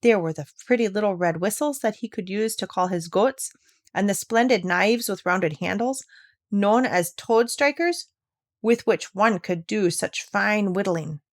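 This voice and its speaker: A woman's voice: mid-range voice, unhurried, no room sound, clean studio sound. A woman reading a classic children's story aloud as scripted narration. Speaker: audiobook reader.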